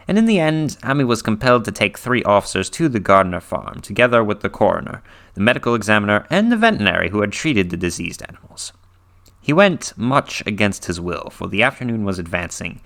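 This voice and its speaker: Male narrator, fairly low pitch, for dramatic horror fiction read-through; theatrical delivery with hushed, breathy dips. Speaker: reader.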